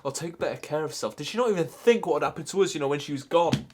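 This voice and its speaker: A man's voice: medium pitch, normal speed, a little hiss or hum, close to an everyday voice. A man playing a bitter, grieving grandchild in a raw, conversational film monologue. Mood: bitter, angry. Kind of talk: dramatic monologue in a short film.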